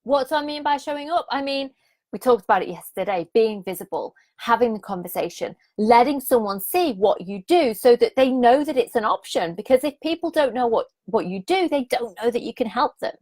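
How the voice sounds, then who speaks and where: A slightly croaky woman in her thirties with a fairly high voice coaches her viewers on a livestream. She is upbeat and repeats her points, keeps asking the audience to drop comments, and laughs easily. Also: animated, a little fast, darkish tone. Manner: enthusiastic, repetitive, invites comments, laughs often. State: a bit croaky. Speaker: business coach hosting a live challenge. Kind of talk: live-streamed coaching talk.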